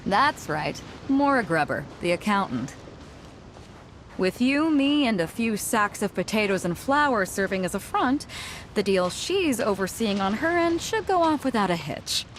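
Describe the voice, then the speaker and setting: A woman's voice: mid-range voice, very animated, measured pace, slight background noise. An actress playing a confident, worldly pirate captain in a video game, assured and teasing as she explains things. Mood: confiding, amused. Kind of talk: voiced video game dialogue. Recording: music behind the dialogue.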